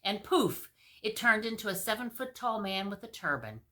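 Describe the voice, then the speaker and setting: A woman reading a comic fairy tale aloud as a storyteller, with expressive delivery and playful asides. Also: mid-range voice, normal speed.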